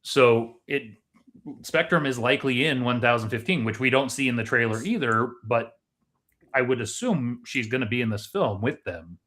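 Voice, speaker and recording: A man's voice: mid-range voice, expressive, moderate pace, fairly bright tone. Man explaining film theories in casual conversation. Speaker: co-host of a movie discussion show.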